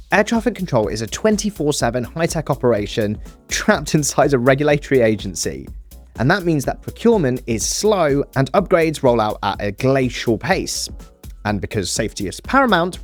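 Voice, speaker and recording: A man presenting a scripted explainer with casual, conversational asides. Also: medium pitch.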